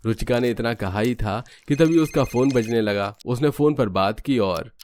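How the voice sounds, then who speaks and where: A man's voice: medium pitch, moderate pace, a little mellow, a little hiss or hum. A man narrating a scripted cartoon family story as a storyteller.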